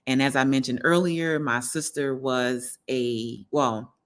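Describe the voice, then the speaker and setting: A woman's voice: extremely low pitch, steady tone, slow pace. A middle-aged woman, chatting casually to her livestream viewers and often checking in with them as she hosts. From New Orleans, United States. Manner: conversational, addresses viewers directly, often seeks agreement. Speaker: wellness livestream host.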